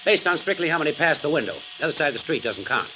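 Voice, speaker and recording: A man playing a smooth, playful insurance broker in a 1950s radio drama, talking in an offhand, urbane way. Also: on the high side, animated, rapid-fire, close to an everyday voice. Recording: old radio recording, faint noise.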